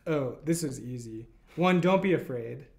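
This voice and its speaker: A man in his thirties, a guest homeowner, talking in an easygoing, relaxed way in a historic parlor. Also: medium pitch, normal speed, creaky. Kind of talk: casual chat. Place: historic house parlor, indoors.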